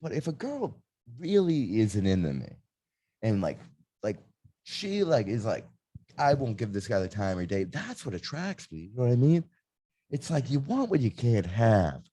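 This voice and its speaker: A man's voice: mid-range voice, big pitch swings, moderate pace, warm tone, husky, no echo. A man hosting a casual comedy podcast, rambling and provocative, with lots of "like" and filler. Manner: rambling, provocative, heavy use of "like". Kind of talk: casual chat.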